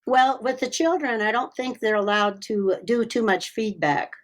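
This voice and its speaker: A woman's voice: a little high, a little slow, rough recording. An older woman, sixties or above, a guest chatting casually in a cheerful, folksy way. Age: 60s or older. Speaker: interview guest, children's story reader and teacher. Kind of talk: casual chat.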